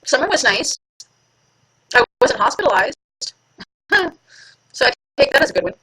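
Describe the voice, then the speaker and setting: A woman's voice: high voice, big pitch swings, moderate pace, sharp tone, breathy edge, rough recording. A woman hosting a live stream from home, chatting casually and playfully with her viewers and teasing herself. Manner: chatty, playful, self-mocking. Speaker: livestream host.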